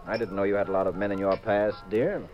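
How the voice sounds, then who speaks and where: An actor playing a skeptical, teasing detective husband with dry delivery in a 1950s radio drama, muffled with age. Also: male voice, fairly low pitch, steady tone, close to an everyday voice. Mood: sardonic, teasing. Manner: dry, sardonic, brisk. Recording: muffled vintage 1950s radio recording with music.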